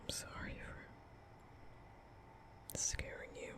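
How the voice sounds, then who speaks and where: Man voicing a gentle young male vampire, breathy whisper, nervous, soothing, apologetic, hesitant phrasing, ASMR roleplay. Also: slow, lightly acted.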